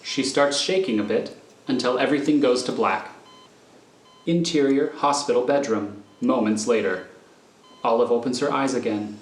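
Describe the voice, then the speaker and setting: Male narrator reading screenplay directions: medium pitch, scripted delivery, roomy sound with noise. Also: normal speed. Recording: echoey room, clear background noise.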